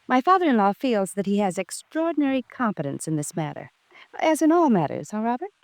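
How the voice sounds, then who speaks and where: An actress playing a sardonic, needling wife in a 1950s radio drama, with an arch, ironic delivery. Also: female voice, a little high, very animated, a little fast, no echo. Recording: restored 1950s radio recording.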